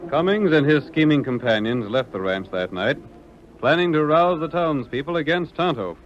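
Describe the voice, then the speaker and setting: A man's voice: fairly high pitch, expressive, moderate pace, a little hiss or hum, lightly acted. A man acting as the formal, theatrical narrator of an old-time western radio adventure, in scripted dramatic style. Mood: suspenseful. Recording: dull vintage radio recording.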